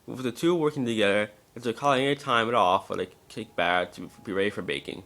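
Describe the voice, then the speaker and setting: A man's voice: on the low side, fast talker, fairly bright tone. A man narrating a scripted story as an amateur dramatic reading, now and then stumbling over words.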